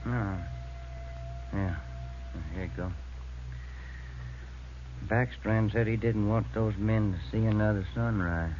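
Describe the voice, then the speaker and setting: An actor playing a dutiful, terse police detective and partner in a 1940s radio drama. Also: male voice, lowish voice, fairly even intonation, slow, long pauses, slight background noise. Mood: subdued. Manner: terse, plain-spoken. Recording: muffled vintage radio recording with music.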